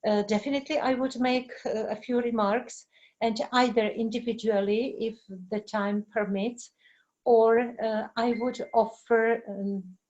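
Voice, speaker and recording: A middle-aged woman with a Czech accent, a native Czech speaker, teaching in an informal webinar with halting, searching delivery. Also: on the high side, fairly even intonation, a little mellow, lo-fi sound. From Czech Republic. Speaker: language teacher giving a webinar. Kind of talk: informal lecture with explanations and answers.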